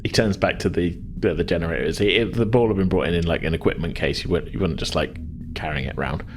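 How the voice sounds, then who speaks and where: A man with a low voice works as game master, improvising descriptions for his players. He phrases things hesitantly and circles toward the right word, with faint noise in the background. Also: quick pace. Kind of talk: improvised narration of a tabletop game.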